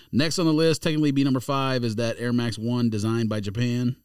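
A man with a smooth, clear voice talks casually on a podcast panel. He is offhand and a little teasing as he works through a list, at a middle pitch, recorded close and dry. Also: fairly even intonation, a little fast, no room sound. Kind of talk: casual chat, reading out a ranked list.

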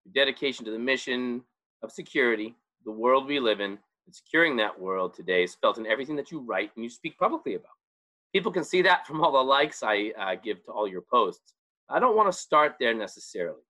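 A man's voice: mid-range voice, animated, brisk, pushed and bright, rough recording. A man in his forties hosting an interview in a teasing, conversational way. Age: 40s. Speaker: interviewer host. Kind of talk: casual interview.

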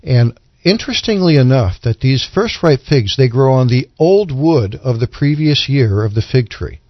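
A man co-hosting a radio Bible programme, explaining scripture in an earnest, measured teaching manner. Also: medium pitch, animated, no room sound, lo-fi sound. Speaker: radio Bible commentator and co-host. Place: radio studio.

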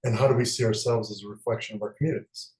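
A man's voice: fairly low pitch, fairly even intonation, very fast, a little mellow. Thoughtful man in an echoey room. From American Midwest. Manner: thoughtful, many fillers. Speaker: guest novelist. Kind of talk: interview answers.

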